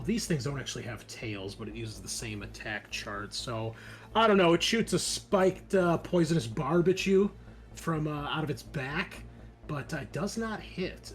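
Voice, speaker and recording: A man working as game master, narrating a tabletop role-playing game in a conversational way and thinking aloud as he makes rulings. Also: a little high. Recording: music playing under the voice. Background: game background music.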